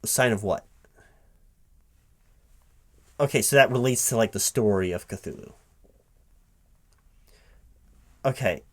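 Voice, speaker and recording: A man's voice: fairly low pitch, fast talker. A man playing a flustered but polite recruiting manager in a one-sided ASMR interview roleplay, hesitating, repeating words and asking puzzled questions. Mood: uneasy, puzzled. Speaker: job interviewer. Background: computer keyboard typing.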